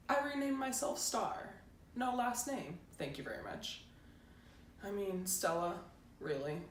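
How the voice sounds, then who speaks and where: A woman's voice: mid-range voice, far from the mic, natural voice. A woman performing a stage monologue as a plucky, defiant teenage girl, chatty and emphatic. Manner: chatty, self-dramatizing, emphatic. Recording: roomy echo, faint background noise.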